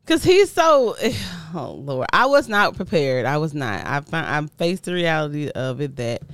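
A woman's voice: deep voice, very animated, a little slow, dry recording, studio quality. A middle-aged woman chatting casually as a podcast co-host, teasing and exasperated in a slangy way. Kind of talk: casual banter with partner. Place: indoors at home.

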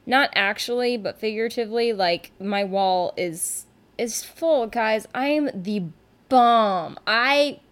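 A woman's voice: fairly high pitch, slow. Chatty woman rambling through a podcast. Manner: chatty, rambling, candid and self-deprecating. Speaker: podcast host and rock musician. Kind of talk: casual solo podcast monologue. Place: at home on a couch.

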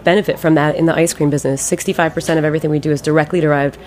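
An American woman in her late thirties with a low voice talks very quickly about her own work. She is informal and emphatic, repeating words to drive a point home, as in a casual radio interview. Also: steady tone, darkish tone, a little hiss or hum. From Ohio, United States. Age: late 30s. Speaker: ice cream maker and business owner, interview guest.

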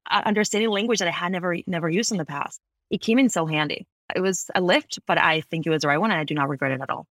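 A woman's voice: on the low side, a bit bright, no room sound, studio quality. A woman in her thirties from Ecuador, a podcast guest talking articulately about her career, often correcting herself mid-sentence. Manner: fast, articulate, frequent self-corrections. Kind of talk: conversational interview. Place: home office, Bay Area.

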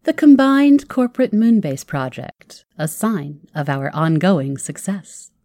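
A woman's voice: fairly low pitch, lively intonation, a little slow, dark tone, no echo. A woman playing a polished corporate channel announcer in a sci-fi audio drama, speaking in an official promotional manner.

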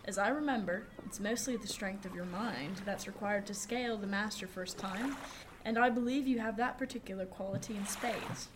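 A woman's voice: mid-range voice, moderate pace, lightly acted. A woman playing a plainspoken, encouraging seasoned sailor in a period radio drama, folksy and reassuring. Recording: background noise, ship ambience.

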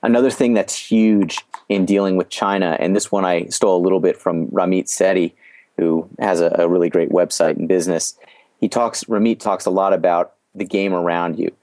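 A man speaking as an interview guest, explaining business lessons in a measured way, often restarting his phrases. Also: sits low, steady tone, a little mellow, dry recording. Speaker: guest sharing business experience. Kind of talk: podcast interview storytelling.

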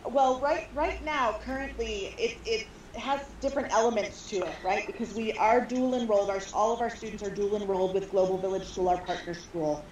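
A woman's voice: mid-range voice, fairly even intonation, a little fast, hardly any pauses, a little hiss or hum. A woman guest answering interview questions hesitantly, with many fillers and restarts, recorded from a distance in an echoey room. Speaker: school co-founder guest. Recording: roomy echo, far from mic.

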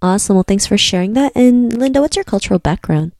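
A woman's voice: medium pitch, animated, fast talker, darkish tone, no echo, studio quality. A woman hosting a podcast, asking brief upbeat questions of her guest. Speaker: podcast host. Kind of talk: podcast interviewing.

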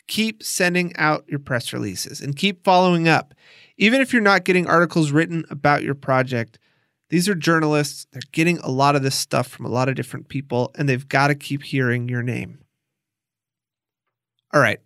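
A man's voice: on the high side, normal speed, a bit bright, no room sound, clean studio sound. A man hosting a podcast, reading scripted documentary narration in an instructional way with emphatic signposting. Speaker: podcast host.

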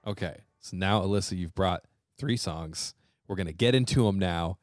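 A man's voice: deep voice, normal speed, loud music behind the voice. A man co-hosting a podcast, easygoing and encouraging, often affirming his guest. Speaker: podcast co-host. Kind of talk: casual chat.

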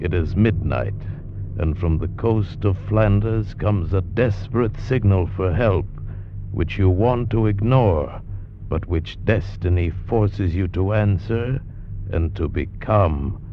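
A man's voice: low voice, slow pace, a little hiss or hum. A man delivering a dramatic old-time radio teaser. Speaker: radio announcer. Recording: muffled old radio, music behind. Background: music.

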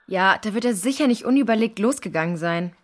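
A woman playing a thoughtful, polite young adventurer in a children's audio play, measured in delivery. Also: mid-range voice, expressive, natural voice.

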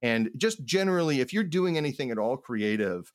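A man's voice: mid-range voice, lively intonation, a little fast, no echo. A man in casual podcast conversation with a mid-range voice and a dry, close sound. He talks as a co-host, rambling along in stop-start phrases and often doubling back on his words. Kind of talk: casual podcast chat about role-playing games.

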